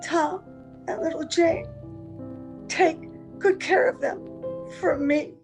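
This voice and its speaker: A woman acts an anguished young-seeming wife and mother in an old-time radio play. Her voice is high, earnest and pleading, with dramatic delivery, and music plays behind her. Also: fairly even intonation, slow pace, full character voice. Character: anguished wife and mother who can never grow older. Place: at home, recorded over a video call.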